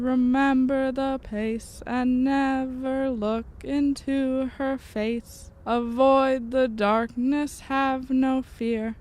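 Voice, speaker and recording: A woman's voice: high voice, darkish tone. A woman playing a stern, insistent young goth occultist in an audio drama, earnest and ominous. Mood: slow, eerie recital.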